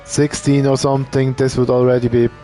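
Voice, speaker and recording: A man doing casual live commentary on a sim racing event. He thinks out loud as he talks and uses fillers. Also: mid-range voice, a little fast, faint music behind. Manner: relaxed, thinking aloud, with fillers.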